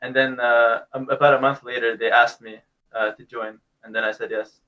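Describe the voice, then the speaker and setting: A man's voice: medium pitch, flat delivery, moderate pace, highs cut off. Laid-back young Canadian man. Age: young adult. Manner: laid-back, chuckles, fillers like "like" and "basically". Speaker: guest. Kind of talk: casual interview answers. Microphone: video call.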